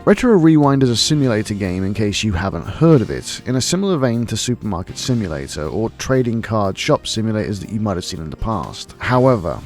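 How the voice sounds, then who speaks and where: A man's voice: fairly low pitch, very animated, fairly quick, hardly any pauses, darkish tone, faint music behind. A young Welsh man from South Wales reading a scripted game review, wry and a little profane, with a nostalgic storytelling manner. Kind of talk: scripted voice-over narration.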